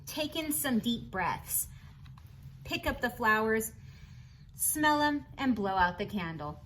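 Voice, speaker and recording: A woman's voice: on the high side, expressive, slow pace, a bit bright, slight background noise, low-quality recording. A woman reading a picture book aloud to young children, adding chatty asides in an encouraging, instructional manner. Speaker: children's read-aloud host.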